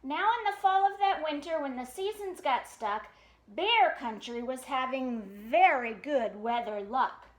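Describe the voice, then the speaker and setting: Very high-pitched woman reading to children. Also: roomy sound. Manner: sing-song, expressive, pauses to question young listeners. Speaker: storytime reader. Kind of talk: animated read-aloud of a children's picture book with chatty asides.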